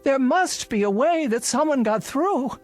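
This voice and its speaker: An actress playing an insistent, lonely elderly woman in a vintage television drama, speaking in slow, halting phrases. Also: high-pitched, lively intonation. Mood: agitated, insistent. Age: older. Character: imperious, lonely elderly spinster haunted by lost fiancé. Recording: vintage TV soundtrack, faint music behind.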